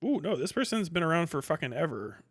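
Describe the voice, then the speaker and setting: A man with a fairly high voice talks as a livestream host, close and dry with little room sound. He is casual, sweary and slangy, commenting on posts with a mocking edge. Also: very animated, fast, dry recording. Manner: often breaks into laughter.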